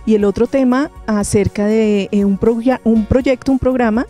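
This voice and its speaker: A woman's voice: a little high, steady tone, normal speed, mellow, faint music behind. A middle-aged woman with a Colombian accent, giving an interview statement into a handheld microphone. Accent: Colombian Spanish. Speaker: occupational therapist, interviewee. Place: outdoors, in a garden courtyard. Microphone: handheld microphone at chest height.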